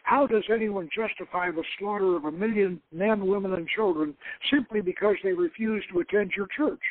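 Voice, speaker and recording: A man's voice: high voice, fairly quick. A man voicing an earnest, reflective young Lebanese woman telling her life story in a dramatic novel reading, over a narrow phone-like line. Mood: earnest, critical.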